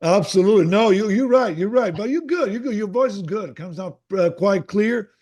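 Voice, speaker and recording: A man hosting an author interview, enthusiastic and prone to halting restarts. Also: high voice, expressive, a little mellow. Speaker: podcast host.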